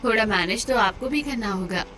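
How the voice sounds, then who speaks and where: A woman voicing a gentle, polite, pleading woman of a troubled household in a cartoon story. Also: fairly high pitch, fairly quick, natural voice. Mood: placating. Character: gentle, distressed, placating women of a troubled household. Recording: clear background noise under voice.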